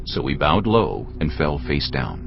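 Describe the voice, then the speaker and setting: A man's voice: extremely low pitch, big pitch swings, unhurried, creaky. A man reading scripted narration for a dramatised audio Bible. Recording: dull audio under loud music.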